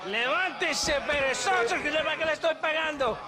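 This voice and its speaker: A man playing an excitable Mexican army officer, bossy yet servile, in a video game cutscene, with a Mexican accent. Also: extremely high pitch, a little fast, very noisy. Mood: frantic, commanding. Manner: agitated, emphatic.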